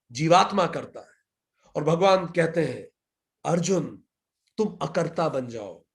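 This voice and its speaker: Man, Indian accent, spiritual teacher, scripture lecture, emphatic, repetitive build-ups, rhetorical questions, slightly high voice. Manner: asks and answers his own questions.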